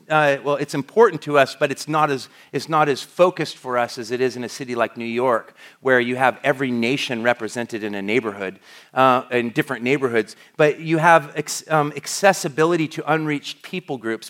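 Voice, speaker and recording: A man's voice: fairly high pitch, a bit bright. A middle-aged man from the United States, preaching a sermon as a pastor in a conversational way, often restarting his sentences. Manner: conversational, with frequent restarts and fillers.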